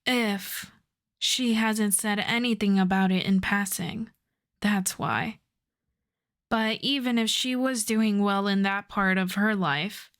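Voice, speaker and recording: Slow-talking, coaxing supervillain played by a woman. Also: medium pitch, natural voice. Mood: pointed, coaxing. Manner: unhurried, teasing, conversational. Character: infamous female supervillain, wry, coaxing, flirtatious costume client. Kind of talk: intimate ASMR roleplay audio drama.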